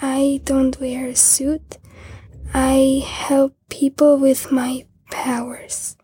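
A twelve-year-old girl, a German speaker, reading her school text aloud in a soft, half-whispered way. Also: high-pitched, completely flat delivery, very slow. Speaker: student reading own text.

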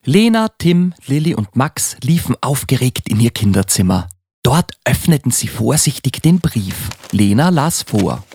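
A man narrating a children's Christmas audio play as a scripted story, with an expressive read-aloud delivery. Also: mid-range voice, a little slow, creaky, slightly rough, no echo, clean studio sound.

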